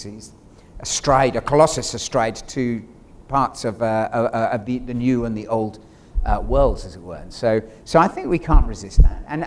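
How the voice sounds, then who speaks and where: A man speaking as an actor guest in a conversational stage discussion, thinking aloud with asides. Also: medium pitch. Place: on a theater stage. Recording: clear background noise, stage ambience.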